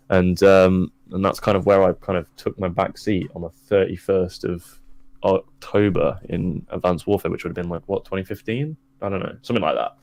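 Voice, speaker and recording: A man's voice: low voice, dry recording. A young man, talking casually and at length as an interview guest, with many restarts and fillers. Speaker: esports caster and guest.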